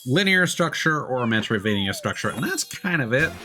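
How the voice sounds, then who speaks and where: A man's voice: medium pitch, sing-song, a little fast. A man streaming a video game, thinking aloud in casual, rambling commentary while he plays. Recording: game music behind the voice. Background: video game music.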